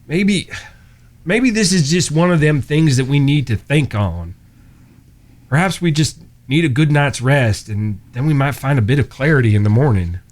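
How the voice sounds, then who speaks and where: A man playing an earnest, gentle, lovestruck Old West fellow in an audio drama, hesitant and folksy in his talk. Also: mid-range voice, animated, moderate pace, close to an everyday voice. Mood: earnest, uncertain.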